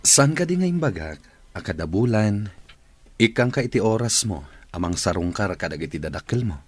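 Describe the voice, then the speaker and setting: Sweet-talking boss, low husky voice, ilocano accent, radio drama. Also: male voice, big pitch swings, normal speed, no echo. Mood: earnest, coaxing. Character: polite, flattering male company boss, earnest suitor.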